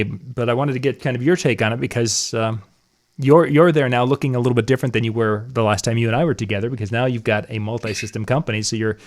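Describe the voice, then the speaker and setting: A man hosts a casual live interview in a mid-range voice. He is relaxed, laughs easily, and tends to trail off softly as he asks his guest questions. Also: mid-range voice. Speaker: interview host.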